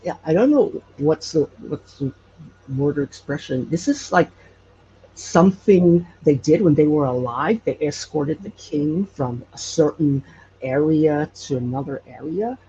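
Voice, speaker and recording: A man from Hawaii telling stories casually as an interview guest, halting and searching for words as he goes. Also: a little high, expressive, dark tone. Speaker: podcast guest telling local legends. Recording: dull lo-fi audio, faint noise.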